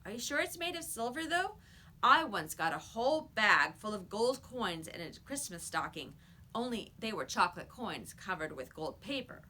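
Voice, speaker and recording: A woman's voice: medium pitch, very animated, normal speed, sharp tone, a little hiss or hum. A woman voicing a reasonable, slightly doubtful young schoolboy in a children's storybook, plain-spoken and questioning. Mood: doubtful, sheepish.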